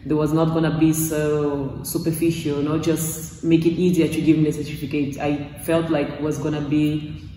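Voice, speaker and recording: A woman's voice: low voice, level tone, normal speed, dark tone, recorded from a distance, slight background noise. Brazilian-accented woman, echoey gym room. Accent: Brazilian Portuguese. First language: Portuguese. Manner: talks with her hands, searching for English words, many restarts. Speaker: course graduate giving a testimonial. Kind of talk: casual testimonial interview. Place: small gym room, indoors, in front of weight racks. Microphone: far camera.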